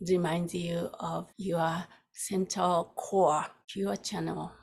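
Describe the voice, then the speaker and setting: Female meditation teacher, lowish breathy voice, very slow and soft with long pauses. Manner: soft, unhurried, with long pauses between short phrases. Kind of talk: guided meditation.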